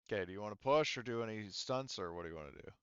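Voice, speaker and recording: A man acting as game master of a tabletop roleplaying game, explaining rules haltingly as he thinks aloud. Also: on the low side, expressive, a bit bright, clean tone, no room sound, dull audio.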